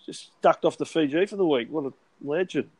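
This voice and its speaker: A man's voice: fairly high pitch, brisk, dark tone, breathy edge. An Australian man hosting a casual chat, blokey and talkative, swearing offhandedly and often laughing while he talks.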